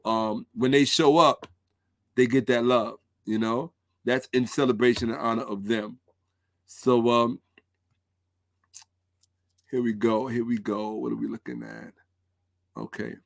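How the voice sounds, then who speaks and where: A man's voice: mid-range voice, slow pace, creaky, long pauses, no room sound. Man hosting a live commentary, dry close sound. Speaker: host of a commentary livestream. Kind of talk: live commentary, talking to viewers.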